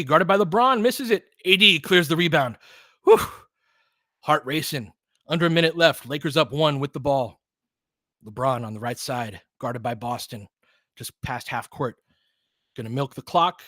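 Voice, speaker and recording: Man, medium pitch, informal fan play-by-play, clipped basketball calls, partisan, excitable on big plays, dry recording. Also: no echo. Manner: terse, clipped phrases. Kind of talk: informal live basketball commentary.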